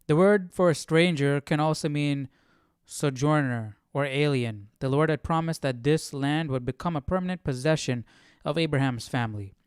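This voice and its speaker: Clear, lightly high-voiced man thinking aloud. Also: normal speed, no room sound, clean studio sound. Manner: questioning, musing to himself. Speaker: host of a Bible study livestream. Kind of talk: casual think-aloud study commentary.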